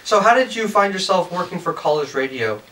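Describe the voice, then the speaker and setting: Higher-pitched man hosting a casual interview. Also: very animated, fairly quick, pushed and bright. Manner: informal, bantering, self-deprecating. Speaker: show host, interviewer. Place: indoor lounge with amps and instruments. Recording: distant mic, faint background noise.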